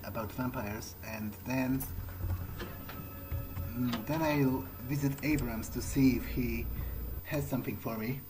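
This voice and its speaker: A man's voice: medium pitch, steady tone, takes it slowly, natural voice, rough recording. A man playing an awkward, dry vampire adventurer in a hesitant, rambling improvised commentary on a video game. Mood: musing. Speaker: let's player. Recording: loud game music behind voice. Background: video game soundtrack.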